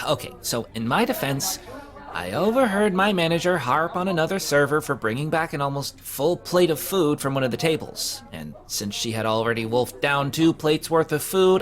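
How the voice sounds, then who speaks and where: A man's voice: medium pitch, a bit bright. A man playing an awkward, hapless young man in an audio drama, talking in a hesitant, sheepish way full of fillers. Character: awkward, hapless young man who keeps getting fired. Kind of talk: conversational audio drama acting.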